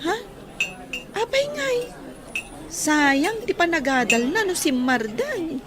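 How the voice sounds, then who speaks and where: A high-voiced, outspoken young student. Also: female voice, very animated, slow, crowd noise, exaggerated voice. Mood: curious. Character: young working student woman, curious and outspoken. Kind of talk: radio drama acting.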